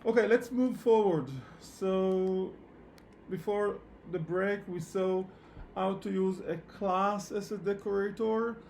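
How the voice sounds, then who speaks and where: A man who speaks English as a second language, teaching programming in a live online lecture with halting phrasing and repeated words. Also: high voice, steady tone, creaky, darkish tone, a little hiss or hum. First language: not English. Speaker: programming instructor. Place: online meetup session at a computer.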